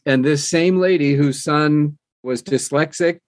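A man's voice: on the high side, measured pace, no echo. Older man telling stories, very dry recording. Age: middle-aged or older. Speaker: interview guest, audiobook narrator. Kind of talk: casual conversational storytelling.

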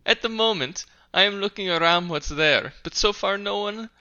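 Bright voice reading in halting steps. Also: medium pitch, lo-fi sound. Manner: reads haltingly, stumbles on words. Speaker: co-host reading aloud. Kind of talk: casual group chat.

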